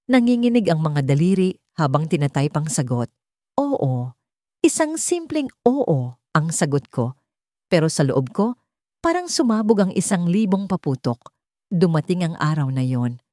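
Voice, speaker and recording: Female storyteller, low register, scripted first-person narration, close dry sound. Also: warm tone, no room sound.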